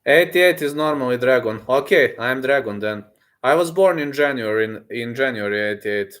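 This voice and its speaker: A man in his thirties in casual unscripted livestream chat, halting and repeating himself as he thinks aloud while answering viewers. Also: medium pitch, expressive, a bit bright. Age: 30s.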